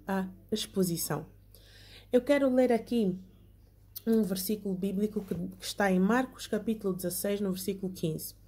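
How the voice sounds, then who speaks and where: Young woman, Bible class teacher; warm, mellow tone at a middle pitch, earnest, keeps checking in with listeners. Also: breathy edge. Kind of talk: online Bible lesson, partly read from notes.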